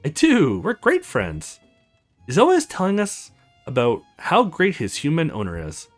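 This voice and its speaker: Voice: medium pitch, wildly swooping pitch, unhurried, vocal fry, heavily acted. A woman voicing a tiny magic fox, cheerful, playful and boastful, in a visual novel game over music.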